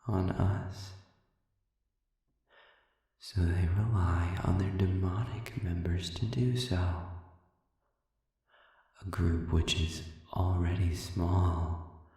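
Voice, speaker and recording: A man playing a suave, sadistic demon in an audio roleplay, speaking in a measured, condescending, formal way with lingering pauses and a breathy voice that often sinks toward a whisper. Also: low-pitched, dark tone. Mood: disdainful, insinuating. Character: suave, sadistic, manipulative male demon prisoner. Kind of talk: audio roleplay, slow intimate monologue addressed to listener. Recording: echoey hall-like reverb, distant.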